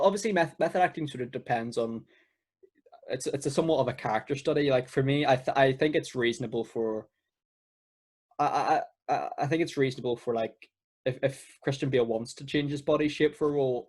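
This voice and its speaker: Teenage male, medium pitch, film podcast co-host, casual chat, agreeable, matey, easily amused. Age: teens.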